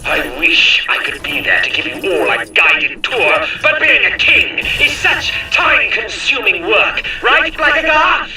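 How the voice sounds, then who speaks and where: A smug, mock-polite villain king in a cartoonish game. The voice is high and swooping, theatrical in its taunts, ringing with heavy distant echo. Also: sing-song, a little slow, hardly any pauses, pushed and bright, heavily acted. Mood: gleeful, taunting. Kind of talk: cartoonish game cutscene acting. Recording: echoing, distant announcement over music.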